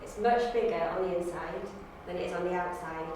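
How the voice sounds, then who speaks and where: A woman's voice: lowish voice, a little fast. Woman chatting in a very echoey room. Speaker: auditioning actor. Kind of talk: casual, unscripted talk. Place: indoor university room. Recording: distant, very echoey room, faint noise.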